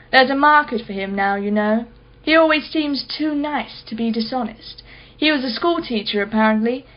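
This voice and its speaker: An actress playing a haughty, sharp-tongued wealthy art collector in a radio play, speaking in an articulate, imperious and sardonic way. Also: female voice, on the high side, dull audio, natural voice.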